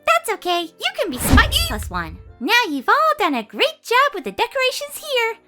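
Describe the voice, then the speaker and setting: Squeaky high voice, wild swooping pitch, zany bubbly party pony voiced by a woman. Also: wildly swooping pitch, normal speed, over loud music, full character voice. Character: hyper party-loving female pony.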